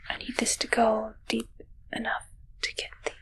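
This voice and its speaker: A woman voicing an awkward alien posing as a medic in a whispered ASMR roleplay, with halting, broken speech and a puzzled manner. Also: a little high, completely flat delivery, creaky, fairly bright tone, poor audio. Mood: puzzled, tentative.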